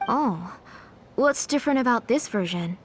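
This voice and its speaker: Woman acting a higher-pitched, composed, controlling aunt. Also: lively intonation, normal speed, slight background noise, natural voice. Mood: gracious. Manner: composed, formal. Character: polite, protective, controlling aunt with a steely edge. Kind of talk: video game voice acting.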